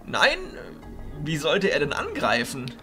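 A man playing an elderly, authoritative arch-chancellor of illusionists in a fantasy audio drama, speaking in a theatrical, deliberate way. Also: a little high, wildly swooping pitch, pushed and bright, soft background music, full character voice. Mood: musing.

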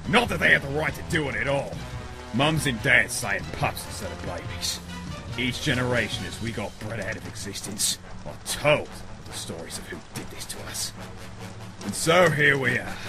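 A man's voice: mid-range voice, lightly acted. A man voicing a vengeful, bitter Tasmanian devil, menacing and self-righteous, in a comic dub. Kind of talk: amateur comic dub voice acting. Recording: music loud behind the voice.

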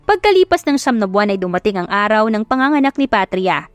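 A woman's voice: mid-range voice, sing-song, quick pace, no echo. A woman with a Filipino accent giving a scripted, dramatic narration of a romance story. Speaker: audiobook narrator. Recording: music behind the voice, dry studio sound. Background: background music.

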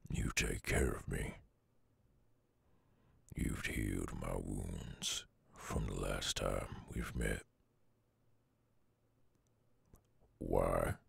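A man playing a weary, centuries-old werewolf with a gruff Southern drawl, halting and tender in an intimate ASMR roleplay. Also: extremely low pitch, dry recording, exaggerated voice. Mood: tender, questioning. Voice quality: very gravelly, husky growl. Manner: halting, brooding drawl with long pauses. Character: gruff weary three-hundred-year-old male werewolf, guarded yet tender.

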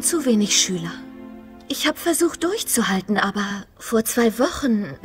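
A woman playing an earnest, sighing aunt in an animated film dub. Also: medium pitch, natural voice. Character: earnest aunt running a struggling family riding academy.

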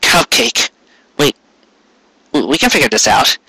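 A man voicing an anxious, gentle young stallion pony in an audio story, pleading in a halting, stammering way. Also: medium pitch, big pitch swings, slightly rough, no echo, exaggerated voice, rough recording.

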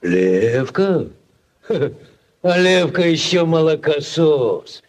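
An older village rogue, blustering and self-important. His speech is slow and heavily inflected, in the manner of a 1940s Soviet radio play. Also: on the high side, big pitch swings, full character voice. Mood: boastful, threatening. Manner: pompous, boastful.

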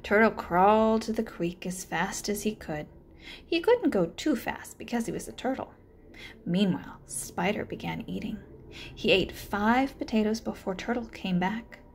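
A woman's voice: medium pitch, animated, a little slow, slight background noise. A woman reading a children's story aloud as a learning coach, introducing and narrating it.

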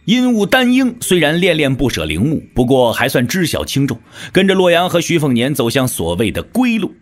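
A man's voice: a little high, very animated, brisk. A man narrating a martial-arts audio drama in a dramatic, scripted storytelling style.

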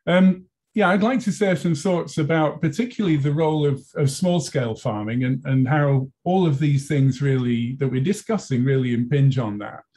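A British man in his sixties, a professor speaking on a conference video call in a measured, thoughtful way from his office. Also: mid-range voice, dark tone. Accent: British English. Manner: measured, thoughtful, frequent restarts. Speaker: university professor, panel speaker. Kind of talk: conference panel talk. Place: office with bookshelves.

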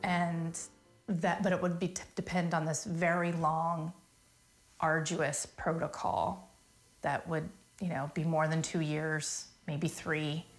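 Middle-aged American female interviewee, lowish creaky voice, conversational storytelling in an office. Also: flat delivery, slow. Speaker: interviewee, nonprofit founder. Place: small office. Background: faint music.

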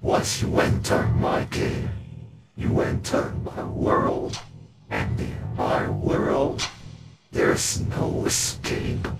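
A man voicing a menacing, sadistic animatronic rabbit monster with a growling rasp, taunting in drawn-out horror-game villain lines. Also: extremely low pitch, level tone, exaggerated voice. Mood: accusing, sinister. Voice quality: gravelly, growling, breathy rasp. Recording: music under the voice.

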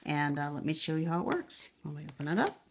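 Low-pitched woman giving a casual product demo. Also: sing-song, fast, a little hiss or hum. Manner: conversational, explains step by step. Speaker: product reviewer. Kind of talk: casual unboxing and demonstration.

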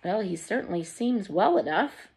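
A woman's voice: mid-range voice, lively intonation, normal speed, darkish tone, slightly rough. A woman voicing a fussy, bustling mother in a storybook reading. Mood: fussing. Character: bossy, fussing storybook mother.

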